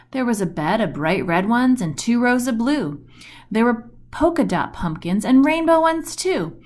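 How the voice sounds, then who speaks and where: A woman reads a rhyming picture book aloud for children. Her pace is slow and deliberate, and her pitch moves up and down with lots of animation. She leans on the rhymes and action words for dramatic effect. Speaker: storybook reader.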